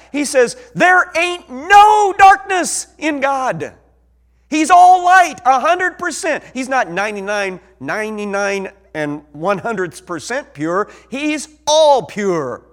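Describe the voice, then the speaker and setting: Animated Ozark preacher. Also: male voice, sits high. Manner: animated, swings from soft to loud emphasis. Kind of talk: sermon.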